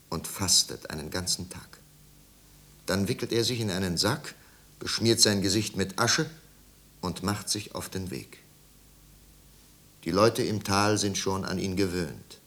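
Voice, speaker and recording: An actor as the solemn narrator of a 1950s German radio drama, telling a tragic literary tale in measured, dramatic phrases. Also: male voice, low-pitched, fairly bright tone, exaggerated voice.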